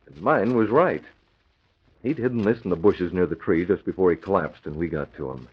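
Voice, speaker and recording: An actor playing an earnest, decisive crimefighting hero with a husky voice in a 1940s radio adventure. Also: male voice, low-pitched, expressive, moderate pace. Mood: excited, explaining. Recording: muffled vintage radio recording.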